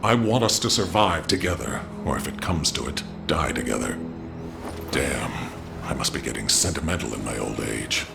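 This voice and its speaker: An actor playing a gruff, weary older veteran soldier in a video game cutscene, speaking with stoic reflection. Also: male voice, extremely low pitch, animated, normal speed, noisy background, heavily acted. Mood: weary, reflective. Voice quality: very gravelly.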